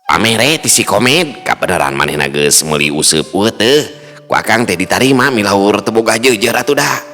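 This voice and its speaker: A man voicing a boastful, good-humored grown man in a told folk tale, bragging quickly about his fishing. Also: on the low side, expressive, bright, forceful tone, voice pushed up. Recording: long reverb, faint music behind.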